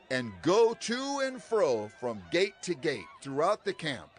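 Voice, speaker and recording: A man's voice: on the high side, a bit bright, exaggerated voice. A man playing an impassioned, stern prophet and leader, declaiming dramatically in a dramatized audio Bible. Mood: commanding. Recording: faint music behind the voice.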